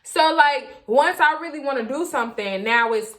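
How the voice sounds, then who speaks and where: A young woman chatting casually to the camera as a vlogger, animated, slangy and emphatic, often repeating herself. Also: high voice, normal speed. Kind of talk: casual storytime chat to camera.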